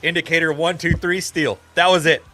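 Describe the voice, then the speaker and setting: Bright-voiced man in emphatic sports chat. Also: a little high, steady tone, a little fast, faint music behind. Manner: emphatic, filler-heavy with many "like"s. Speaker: co-host.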